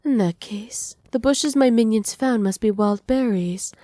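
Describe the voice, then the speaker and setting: A woman playing a doting, possessive witch in an ASMR roleplay, speaking softly with teasing, condescending affection. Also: a little high, unhurried, no room sound, clean studio sound, natural voice. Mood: soft, coaxing. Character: doting, possessive witch mistress pampering her captive pet. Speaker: voice actor.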